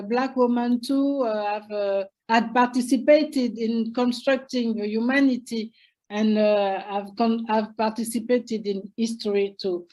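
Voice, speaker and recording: Slow high-voiced older woman, hesitant. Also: a little mellow, rough recording. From Senegal. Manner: hesitant, many fillers and restarts. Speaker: historian guest. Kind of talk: interview conversation.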